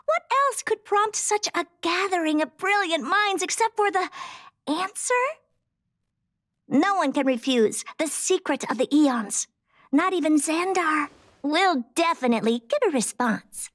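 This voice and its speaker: A woman's voice: very high voice, lively intonation, unhurried, a bit bright, exaggerated voice. A woman voicing a brash, haughty young female genius scientist in a video game, blunt and self-assured. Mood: confident, eager.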